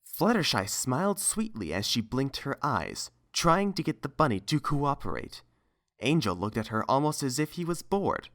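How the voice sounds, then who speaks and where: A man's voice: medium pitch, sing-song, moderate pace, fairly bright tone. A man reading a scripted story as its narrator.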